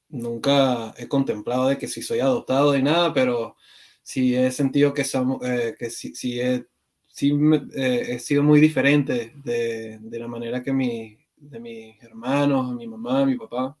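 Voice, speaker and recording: A man from Venezuela speaks as a podcast guest, thinking out loud and halting often on fillers and restarts. His voice sits at an ordinary middle pitch for a man. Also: steady tone. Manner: hesitant, many fillers and restarts. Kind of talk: conversational interview.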